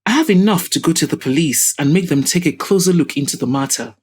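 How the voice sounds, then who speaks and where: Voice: mid-range voice, animated, quick pace, slightly rough. Audio drama lead: determined young woman, voiced by a female narrator, formal, pointed, insistent. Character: determined, probing young woman confronting a suspect.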